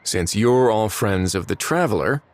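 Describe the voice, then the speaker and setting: An actor playing a calm, authoritative male warden in a video game, speaking in a cool, measured, dryly commanding way. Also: on the low side, slight background noise. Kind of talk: video game cutscene dialogue.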